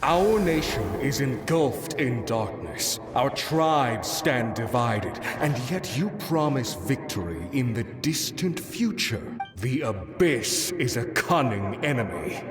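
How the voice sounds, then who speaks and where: An actor playing a proud, stern, vengeful warrior in a video game, speaking solemnly and formally. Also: male voice, medium pitch, lively intonation. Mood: bitter, grave. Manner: solemn, deliberate, formal speech. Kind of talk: dramatic video game acting. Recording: roomy echo, music behind. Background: music.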